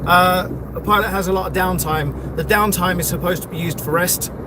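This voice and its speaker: A man's voice: high voice, brisk. A middle-aged man, chatting casually and swearing on a live stream while driving, rambling and full of fillers. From London, England. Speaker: livestreaming vlogger. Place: in a vehicle on city roads near an airport. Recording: loud road noise from a moving car. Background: loud traffic and road noise.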